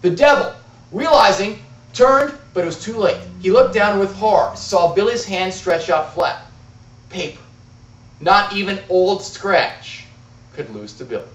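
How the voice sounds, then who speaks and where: A man performs a tall tale like a contest storyteller, with dramatic pauses and big swings in pitch. His delivery is deliberate and theatrical. The recording is muffled and picked up from a distance in an echoing room. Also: animated. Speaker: amateur storyteller in a speech contest.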